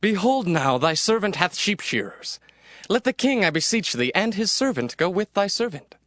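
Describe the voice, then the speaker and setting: A man playing a vengeful biblical prince in a dramatized scripture reading, theatrical and deliberate. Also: sits high, big pitch swings, a bit bright, no echo, clean studio sound. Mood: courteous pleading. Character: Absalom, vengeful prince, brother to Tamar, smoothly pleading and commanding.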